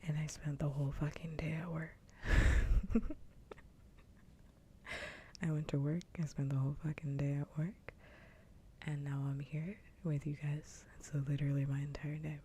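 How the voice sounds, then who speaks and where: A woman hosting an ASMR livestream, chatting casually in a soft, breathy whisper close to the mic, with unhurried, sweary rambling. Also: deep voice, flat delivery, a little hiss or hum. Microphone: close ASMR microphone.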